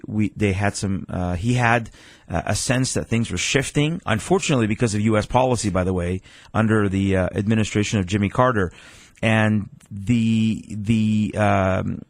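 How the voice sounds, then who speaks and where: A man's voice: on the low side, dry recording. A man in his forties, originally from Iran, a pastor speaking earnestly as a radio guest with frequent restarts.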